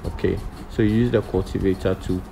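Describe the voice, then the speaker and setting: A man's voice: sits low, steady tone, fairly quick, vocal fry, noisy background. A man explaining farm work in an instructional voice-over, with frequent ums and okays. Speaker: farming channel presenter.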